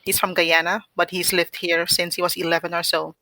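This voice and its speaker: A woman's voice: lowish voice, little pitch movement, a little fast, pushed and bright, no echo, poor audio. A woman in her forties from Suriname whose first language is Dutch, telling her story candidly as a podcast guest. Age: 40s. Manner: candid, chatty, with small restarts. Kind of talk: casual interview.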